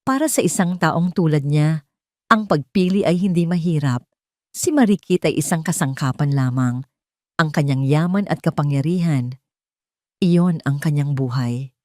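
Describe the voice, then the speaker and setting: A woman's voice: deep voice, moderate pace, dark tone, no room sound, clean studio sound. A woman with a Filipino accent narrating a dramatic scripted story.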